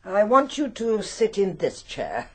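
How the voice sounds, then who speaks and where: An actress playing a solemn, commanding occult medium in a British radio drama, with stern, formal delivery. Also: female voice, mid-range voice, big pitch swings, measured pace, slight background noise. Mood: grave, commanding.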